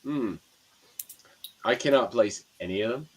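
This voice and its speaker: A man's voice: medium pitch, lively intonation, measured pace, breathy edge. A man from Canada with a Canadian accent, chatting casually and joking, chuckling at his own remarks. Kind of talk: casual chat.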